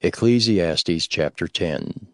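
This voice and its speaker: A man's voice: deep voice, slow, no room sound, studio quality. A man making formal, scripted announcements as a chapter announcer for an audio book.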